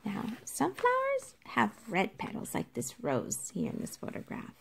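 A woman teacher reading a nonfiction book aloud to young children, explaining it in a deliberate, instructive way and addressing them directly. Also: on the high side, wildly swooping pitch, creaky, a little hiss or hum.

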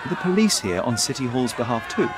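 Man playing a confident young detective, lively pitch. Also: quick pace, noisy background, close to an everyday voice. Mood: probing. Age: young adult. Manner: articulate, polite but pointed. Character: confident young detective, persuasive, probing, self-assured. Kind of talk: video game cutscene acting.

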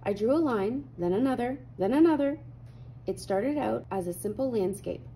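Bright-toned woman reading a storybook, noisy background. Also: a little high, normal speed. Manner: animated storytelling with clear dramatic emphasis. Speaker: read-aloud host. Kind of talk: expressive children's picture-book read-aloud.

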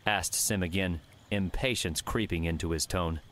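A man's voice: low-pitched, normal speed, fairly bright tone. A man narrating an adventure novel as a scripted audiobook reading. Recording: faint background noise and ambience.

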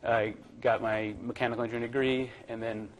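A man in his thirties from Virginia giving a relaxed, chatty university lecture to a classroom, with plenty of ums and uhs. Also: medium pitch, brisk, a little hiss or hum, low-quality recording. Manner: relaxed, chatty, filler-heavy.

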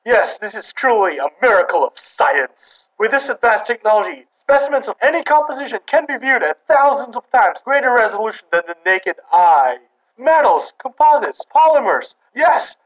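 A man's voice: very high-pitched, distant mic, lo-fi sound. A man narrating a mock 1940s newsreel in a pompous, grandiose announcer style. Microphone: voice-over.

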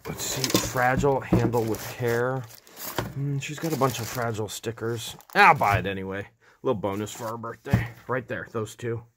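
A middle-aged man giving excited running commentary as he explores a big cluttered warehouse, filmed on a handheld camera. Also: mid-range voice, normal speed, a little hiss or hum. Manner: enthusiastic, exclaims often, thinks aloud. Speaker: vlogger picking for resale. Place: large cluttered indoor warehouse.

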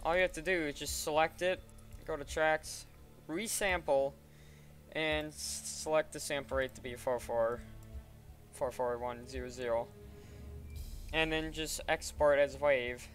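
A man casually talking through a software tutorial step by step in an informal way. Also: on the high side. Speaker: tutorial presenter. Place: voice-over at a computer.

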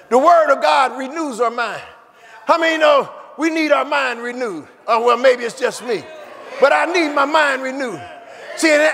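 A man's voice: very high-pitched, vocal fry, bright, forceful tone. A man preaching a fervent sermon, repeating phrases and calling the congregation to answer. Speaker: pastor. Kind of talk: shouted sermon. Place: church sanctuary. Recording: echoey hall with congregation.

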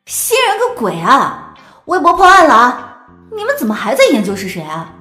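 An excitable young woman gossiping online, very high and swooping in pitch. She is emphatic and opinionated, in a roomy audio drama sound with music. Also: normal speed, full character voice. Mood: emphatic impatience. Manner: emphatic, exclamatory.

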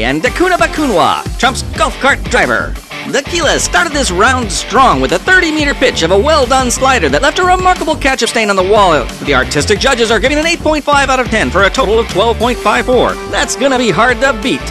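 A performer playing an excitable mock sports reporter, giving dramatic, florid play-by-play in a parody commentary. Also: medium pitch, brisk, over music, close to an everyday voice. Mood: announcing.

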